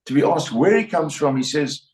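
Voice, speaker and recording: A man's voice: fairly high pitch, animated, fairly quick, a little mellow, recorded from a distance. An older South African man talks bluntly in an interview and loops back over his points with plenty of restarts. His voice is a little off the mic and the room rings around it. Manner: rambling, repetitive, blunt, with many restarts. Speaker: interview guest. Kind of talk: opinionated interview talk. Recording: roomy sound, voice a bit off-mic.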